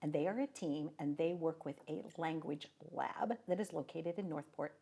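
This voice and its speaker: A woman's voice: low-pitched, normal speed, mellow. A woman hosting a talk show, interviewing guests in an enthusiastic, talkative way and often tossing in "right?". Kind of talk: friendly interview chat.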